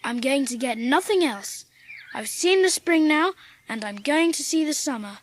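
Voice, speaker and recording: A woman's voice: sits high, lively intonation, normal speed. A woman playing a frail, imperious and eager upper-class English boy in an audiobook drama, earnest and well-spoken. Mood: earnest, excited.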